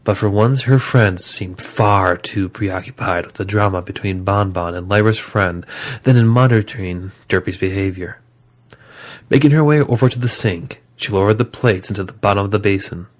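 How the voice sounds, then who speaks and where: A man's voice: lowish voice, moderate pace. A man reading scripted story narration as the narrator of an audio drama.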